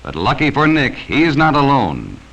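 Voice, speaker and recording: American male, 1940s educational narration, scripted, cautionary, forceful bright delivery, animated intonation, vintage soundtrack. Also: mid-range voice, moderate pace, gravelly, low-quality recording. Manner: stern, cautionary, addressing children directly. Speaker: narrator of an educational safety film.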